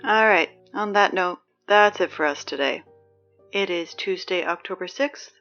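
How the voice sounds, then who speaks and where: A woman's voice: lowish voice, a little slow, a bit bright, muffled recording, faint music behind. A woman playing a dry, sarcastic teenage girl who reads the school announcements in an audio drama. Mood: exasperated.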